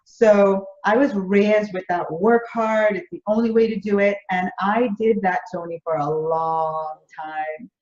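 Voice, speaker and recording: A woman's voice: mid-range voice, steady tone, unhurried, few pauses, darkish tone. A woman with a Jamaican accent speaks earnestly as an interview guest, stacking phrase upon phrase. Her voice carries the echo of a roomy space. The audio sounds slightly dull and lo-fi. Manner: earnest, builds through repeated phrases. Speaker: business coach, interview guest. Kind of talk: conversational interview answers.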